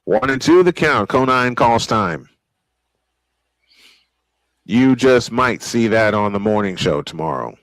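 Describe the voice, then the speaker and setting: Man, baseball announcer, medium pitch, old-school play-by-play, casual asides, very dry recording. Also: lively intonation, slow, long pauses, fairly bright tone, dry recording. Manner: old-school sportscaster delivery.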